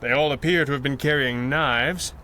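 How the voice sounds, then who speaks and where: An actor playing an analytical masked crimefighter with dry, articulate speech in a radio adventure serial. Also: male voice, medium pitch, moderate pace, sharp tone, a little hiss or hum, lightly acted. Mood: composed, analytical. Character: composed, analytical male masked detective hero.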